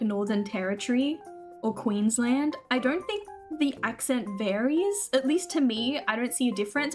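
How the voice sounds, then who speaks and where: A woman with an Australian accent chatting casually with her viewers while streaming a game, laughing often. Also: on the high side.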